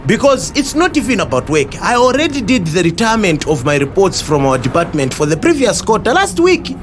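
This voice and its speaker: Man playing an earnest, high-voiced Zambian bus passenger. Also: sing-song, a little fast, background noise. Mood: weary, insistent. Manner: emphatic, explanatory, repeats himself. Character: earnest, well-informed male bus passenger explaining patiently. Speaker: actor in an audio drama. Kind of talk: acted audio drama dialogue.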